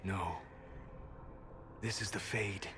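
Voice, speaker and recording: A man voicing a calm, scholarly mage in a fantasy game, speaking in a measured, erudite, formal way. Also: deep voice. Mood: hushed wonder. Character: calm scholarly male mage, fascinated by the dream world.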